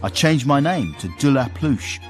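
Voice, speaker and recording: An actor playing a smug, courteous British manservant turned gentleman, telling his story in a genteel, formal way in a radio period drama. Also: medium pitch, lively intonation, moderate pace, over music, lightly acted. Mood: smug storytelling. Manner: genteel, self-satisfied, formal wording. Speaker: narrator and lead character.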